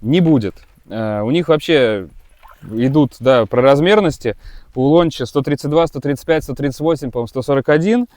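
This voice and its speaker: A man's voice: mid-range voice, lively intonation, moderate pace, a little mellow, no echo. A man who runs a kite school, giving a casual, rambling gear review with many hesitations and restarts.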